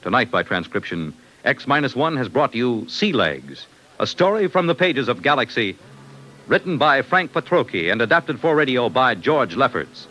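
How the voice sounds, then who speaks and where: Lively, bright-voiced male radio announcer. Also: medium pitch, moderate pace, rough recording. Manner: formal, polished delivery.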